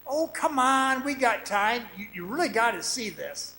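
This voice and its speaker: Man acting breezy, lively young mine guide. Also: very high voice, normal speed, vocal fry, a little hiss or hum, heavily acted, poor audio. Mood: breezy, coaxing. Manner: folksy, breezy, coaxing. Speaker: actor and narrator in a radio drama. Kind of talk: old-time radio drama acting, part narration, part dialogue.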